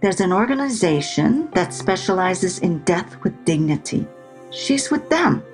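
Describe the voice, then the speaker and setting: Haughty older woman: an audio drama mother, unhurried and cutting, faint music underneath. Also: lowish voice, very animated. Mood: cold, reproachful. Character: haughty, needling mother of adult daughter. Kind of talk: full-cast audio drama acting.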